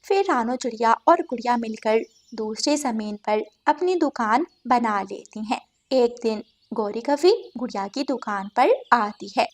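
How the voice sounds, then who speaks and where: A woman's voice: a little high, a little slow. A woman reading scripted narration for a children's moral story as the storyteller.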